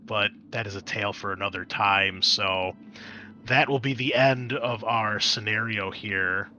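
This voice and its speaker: A man's voice: fairly low pitch, unhurried, pushed and bright, highs cut off, soft background music. A man narrating a tabletop roleplaying game as game master, moving between dramatic scene-setting and casual asides.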